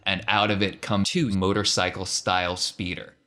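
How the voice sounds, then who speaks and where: Low-voiced man running a tabletop game. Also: little pitch movement, normal speed, sharp tone. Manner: playful, informal descriptions. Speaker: game master. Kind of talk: casual tabletop game narration.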